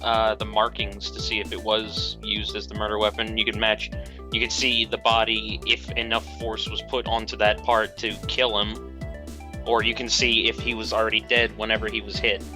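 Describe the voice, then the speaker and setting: A man's voice: fairly low pitch, monotone, moderate pace. Blunt, needling young-sounding man. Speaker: co-commentator. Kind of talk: casual gameplay banter. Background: game music.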